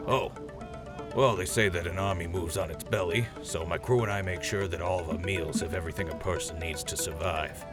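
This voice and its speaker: A man's voice: low-pitched, steady tone, a little fast, loud music behind the voice, natural voice. A man in an audio drama playing a proud, matter-of-fact army cook sergeant giving an interview. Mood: earnest.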